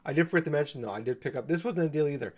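A man co-hosting a casual gaming podcast, talking in a laid-back, hesitant way with many ums and restarts, his voice slightly gravelly from a coming cold. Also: on the high side, rapid-fire, a little mellow. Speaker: podcast co-host.

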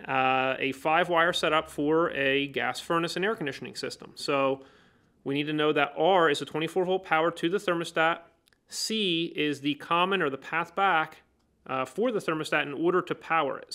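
A man with a somewhat raised, smooth and clear voice teaches new technicians at a workbench. He explains each step in plain words and links the steps with "so" and "now.". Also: on the high side, sharp tone. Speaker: HVAC trainer. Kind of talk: hands-on technical demonstration. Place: indoor workbench.

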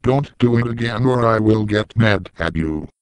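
A low, dry synthetic man's voice as a sentient computer villain in a comedy skit. It delivers taunting threats in a clean, deadpan robotic way. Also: moderate pace, clear, resonant tone, no room sound, natural voice. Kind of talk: scripted homemade comedy skit.

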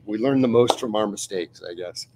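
A man's voice: fairly low pitch, moderate pace, background noise. A middle-aged man, a magazine editor, telling an anecdote on a panel in a meeting room, full of fillers and acted-out quotes, heard from a camera across the room. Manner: storytelling, acts out quoted voices, many fillers.

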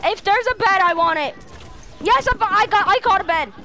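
A female player chatting in improvised game roleplay, excitable and bossy, on a home gaming mic. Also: very high voice, moderate pace.

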